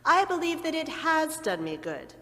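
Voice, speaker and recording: A woman voicing a cheerful, warm-hearted young man who speaks brightly, with a long hall reverb. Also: sits high, sing-song, normal speed, voice pushed up, full character voice. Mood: earnest.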